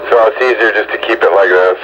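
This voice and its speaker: A man with a folksy, joking way of talking chats casually on two-way radio. His voice comes through dull and lo-fi, with clear static noise around it. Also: low voice, fairly even intonation, quick pace. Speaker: CB radio operator. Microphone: stock radio microphone.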